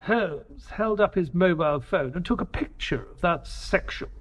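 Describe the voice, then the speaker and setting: Male narrator as detective's earnest companion: dramatic scripted storytelling, lighter high register, expressive swings. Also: moderate pace. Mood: intrigued.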